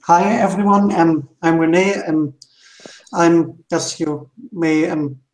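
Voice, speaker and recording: A man in his twenties with a German accent, introducing himself as a guest on a panel chat, pausing often between words. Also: a little high, fairly even intonation, reverberant, low-quality recording. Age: 20s. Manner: hesitant, many pauses and ums. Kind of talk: casual chat.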